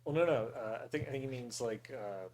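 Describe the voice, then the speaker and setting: A man's voice: mid-range voice, a little fast, a crowd in the background. A man co-hosting a podcast in loose, casual conversation. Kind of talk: casual chat.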